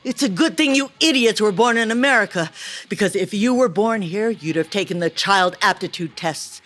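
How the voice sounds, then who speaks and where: A woman's voice: medium pitch, lively intonation, normal speed, fairly bright tone, natural voice. An actress in an audio play as a blunt, intense Chinese cousin, a weary factory worker who warns her relatives in an urgent, bossy way. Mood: urgent warning.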